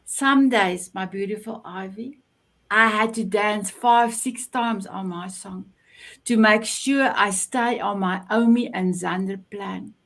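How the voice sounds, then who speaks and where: A woman's voice: medium pitch, slow pace, fairly bright tone. A South African woman whose first language is Afrikaans, hosting an online show over a video call and gushing with praise. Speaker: show host.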